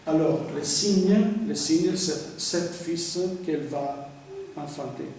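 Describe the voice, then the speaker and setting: A man with an Italian accent, a priest who paints icons, giving a lecture and often pausing to hunt for words. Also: a little high, a little mellow, slight background noise. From Italy. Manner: halting, searching for words with frequent fillers. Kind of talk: lecture on sacred art. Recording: echoey hall, speaker far from mic.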